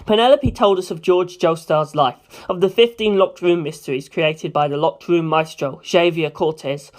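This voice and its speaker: A woman narrating a story aloud in an expressive audiobook style. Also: fairly low pitch, moderate pace.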